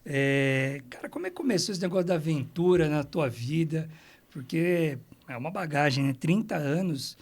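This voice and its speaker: A man's voice: a little high, animated, moderate pace. A man hosting a podcast interview, chatty and openly emotional, tagging his sentences with "né". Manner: warm, emotional, chatty, many "né" tags. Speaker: podcast host.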